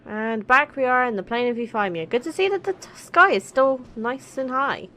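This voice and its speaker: A woman's voice: fairly high pitch, expressive, moderate pace, sharp tone. A woman doing casual, chatty commentary over a game playthrough, playful and full of pet names. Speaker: gaming video host.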